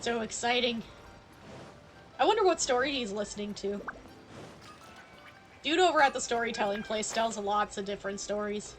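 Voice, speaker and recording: A woman's voice: on the high side, normal speed, long pauses. A woman live-streaming a game, giving casual, playful, chatty commentary. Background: faint game music.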